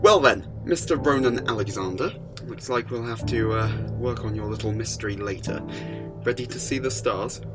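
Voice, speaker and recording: A man's voice: fairly low pitch, normal speed, close to an everyday voice. A man playing a quirky alien time-travelling adventurer in an audio drama, theatrical, with stammers and emphatic bursts. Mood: flustered. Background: music.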